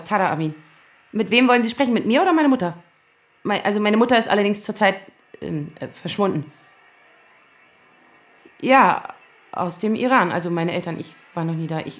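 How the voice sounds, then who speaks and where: A woman's voice: mid-range voice, natural voice. Actress doing thirties woman in an audio drama, flustered, trips over words, gets agitated easily. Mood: wary, irritated. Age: 30s. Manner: halting, stammering, nervous laughs, quick to flare up. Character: flustered, agitated 35-year-old woman lawyer, daughter of Iranian exiles. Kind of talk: naturalistic German audio drama acting.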